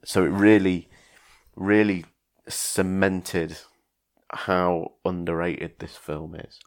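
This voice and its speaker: A man's voice: low-pitched, steady tone, slow pace. A man hosting a casual film podcast, talking in a hesitant way with many ums and restarts. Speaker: podcast host.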